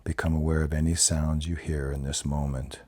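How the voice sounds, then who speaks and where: A man leading a guided yoga nidra relaxation in a calm, soothing, measured manner. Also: very deep voice. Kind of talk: guided meditation. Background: soft plucked guitar music.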